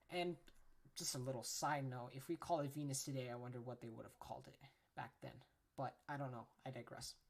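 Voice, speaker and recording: A woman hosting a casual educational podcast, chatty and informal, often tagging her points with "right?", correcting herself, and apologizing for her pronunciation. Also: deep voice. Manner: chatty, tags lines with "right?", frequent self-corrections. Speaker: podcast host.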